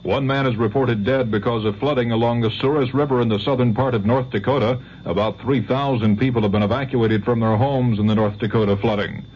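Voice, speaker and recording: Male newsreader, American accent, medium pitch, scripted bulletin, muffled vintage radio, light hum. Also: steady tone, brisk, few pauses, darkish tone. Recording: dull, faintly humming 1970s radio recording.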